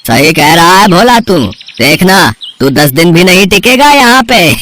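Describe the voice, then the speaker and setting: A man's voice: sits high, lively intonation, slow pace, pushed and bright, full character voice. A man voicing a spiteful rival street food vendor, mocking and jeering, in a moral story.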